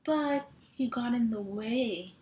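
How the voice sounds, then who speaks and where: A woman reading a picture book aloud to young children in a sing-song, animated way, stretching sound words and asking simple questions. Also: fairly high pitch, takes it slowly. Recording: roomy echo, clear background noise.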